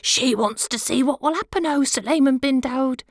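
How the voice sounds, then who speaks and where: Woman voicing a high, pompous little male butterfly. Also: normal speed, no room sound, clean studio sound. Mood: flustered. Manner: pompous, puffed-up. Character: boastful, self-important little male butterfly, henpecked husband.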